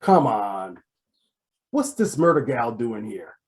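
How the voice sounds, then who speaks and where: A man playing a brusque, exasperated, wisecracking police detective in an old-time radio comedy mystery, gruff and sardonic. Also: medium pitch, sing-song, takes it slowly. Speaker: voice actor.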